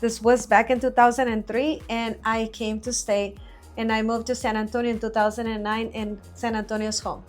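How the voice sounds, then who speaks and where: A woman in her forties from Mexico, a business owner answering interview questions in an earnest, measured way with frequent fillers, recorded in a studio. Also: on the high side, flat delivery, soft background music.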